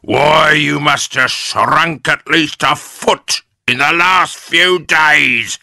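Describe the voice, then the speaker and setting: A man voicing a gleefully nasty, scheming old husband in a children's storybook, taunting in slow, emphatic, drawn-out words. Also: fairly high pitch, vocal fry, sharp tone, no room sound, clean studio sound, pitched above the performer's own voice, exaggerated voice. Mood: gloating, menacing.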